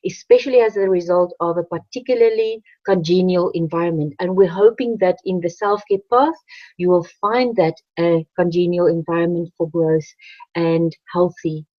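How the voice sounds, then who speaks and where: A woman co-hosting a webinar who reads out dictionary definitions in a measured, steady way. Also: on the low side, no echo. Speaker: webinar co-host. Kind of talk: presenting a webinar, reading definitions. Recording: dull, dry lo-fi audio.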